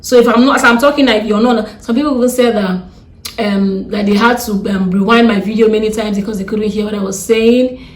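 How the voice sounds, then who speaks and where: Fast-talking Nigerian woman, blunt and fed up. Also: mid-range voice, steady tone, echoey room. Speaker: vlogger. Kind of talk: ranting vlog to camera.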